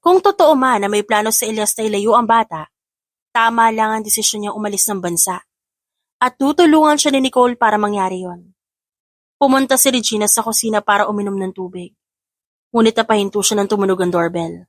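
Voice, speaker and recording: A woman's voice: mid-range voice, brisk, no echo. A woman giving scripted narration of a romance story in Tagalog.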